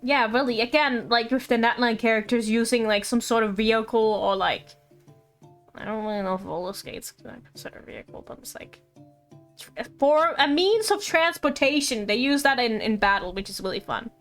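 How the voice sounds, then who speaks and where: A woman game streamer chatting casually and reading game text aloud, with excitable, giggly reactions. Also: a little high, normal speed, faint music behind.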